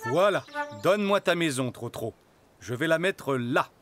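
A man voicing a patient, gentle father donkey in a French preschool cartoon, with an expressive fatherly manner. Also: fairly high pitch, unhurried. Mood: helpful. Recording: music behind the voice.

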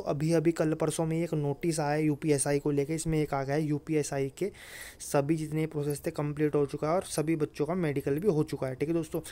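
A man's voice: a little high, dark tone. A man giving an informal explainer to viewers as a channel host, often checking in with listeners. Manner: repeats check-in phrases to listeners. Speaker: host of an exam news channel.

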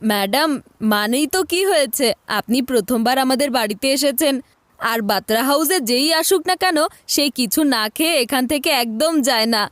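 A woman's voice: high-pitched, lively intonation, brisk, a bit bright, dry recording. A woman voicing a mischievous, gloating little brother in a children's cartoon, sly and theatrical. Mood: sweetly sly. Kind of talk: dubbed children's cartoon acting.

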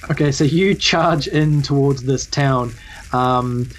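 A man's voice: medium pitch, fairly even intonation, takes it slowly, rough recording. A man narrating a tabletop game as game master, describing scenes in a hesitant, improvised way.

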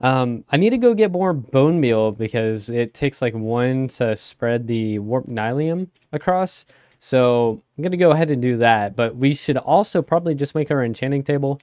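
A young man with a raspy voice from a cold, rambling casually with many fillers as he plays a game. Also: mid-range voice, animated, moderate pace, a little mellow, no room sound, studio quality. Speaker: let's play host. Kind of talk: casual gameplay commentary.

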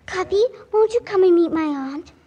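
A woman's voice: very high-pitched, moderate pace, a crowd in the background. A female performer playing a polite, sweet little aristocratic English girl in 1940s radio style. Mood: polite, eager.

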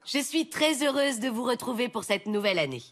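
A kind, polite primary teacher in a children's cartoon. She projects in a high voice with very lively intonation. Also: brisk. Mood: pleasant. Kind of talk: animated children's cartoon acting.

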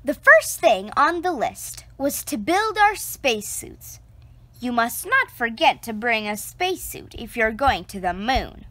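A woman reading a children's picture book aloud, slow and expressive, close to the camera indoors. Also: no room sound. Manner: slow, expressive storytelling with lively pitch.

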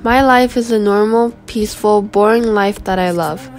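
A fifteen-year-old girl from Guam reading her autobiography aloud as a school presentation, in an earnest, steady voice. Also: mid-range voice, moderate pace. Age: teenager, 15. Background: background music.

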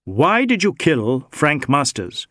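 A man voicing an insistent, snappish Indian police inspector in an audiobook, firing out brisk, demanding questions. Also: on the high side, huge pitch swings, sharp tone, no room sound, clean studio sound, full character voice. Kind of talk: audiobook character dialogue.

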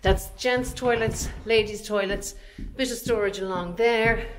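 A woman's voice: a little high, steady tone, measured pace, a little hiss or hum. An Irish woman in her forties, an estate agent chattily explaining a property as she walks through it. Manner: chatty, explanatory, talking while walking. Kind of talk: informal property walkthrough narration. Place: inside empty commercial unit, large bare rooms.

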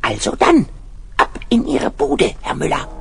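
Ein Mann spielt in einem Kinderhörspiel ein Zeichentricktier, das bestimmend und beruhigend die Wache anführt. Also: male voice, high voice, wildly swooping pitch, a little slow, vocal fry, noisy background, exaggerated voice. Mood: reassuring, conspiratorial. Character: calm reassuring cartoon animal leading a guard team. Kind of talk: children's audio play acting.

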